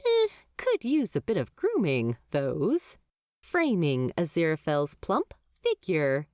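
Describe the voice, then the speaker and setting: A woman's voice: fairly low pitch, wildly swooping pitch, slow, darkish tone, no room sound, clean studio sound. A woman narrating a story expressively from a script, as an audiobook-style narrator.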